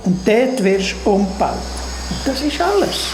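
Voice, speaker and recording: A woman's voice: medium pitch, very animated, normal speed. A woman voicing an old, worn-out mender creature, offhand and resigned, in a Swiss German children's radio play. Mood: breezy, dismissive. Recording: roomy sound with music underneath.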